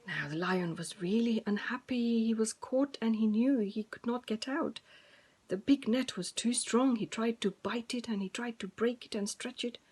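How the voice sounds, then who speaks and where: A woman storyteller speaking to preschoolers. Her voice is fairly high with a husky, breathy edge. She retells the tale informally in simple, repetitive phrases, speaking straight to young listeners. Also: moderate pace, darkish tone.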